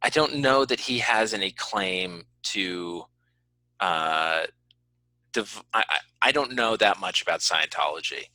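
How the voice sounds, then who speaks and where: A man with a slightly low voice and a strikingly bright, forceful edge, recorded close and dry. He talks like a podcast host in casual conversation, earnestly explaining his view with measured qualifications. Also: animated, no room sound, low-quality recording. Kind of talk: casual conversational interview talk.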